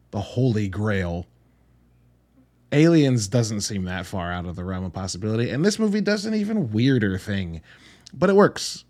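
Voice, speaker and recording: A man's voice: lowish voice, darkish tone. A man hosting a podcast, giving a casual, conversational solo film review in a blunt, casually profane way with rhetorical asides. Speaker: podcast host reviewing films.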